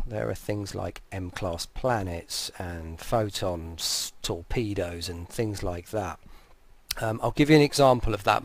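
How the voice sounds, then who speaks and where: A man's voice: fairly low pitch, creaky, no echo. A man casually demonstrating a product, giving spoken commentary and short voice commands.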